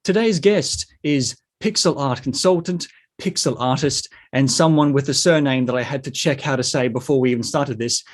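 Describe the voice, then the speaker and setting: A man's voice: mid-range voice, moderate pace. A man hosting a casual interview show, chatty and a little self-deprecating.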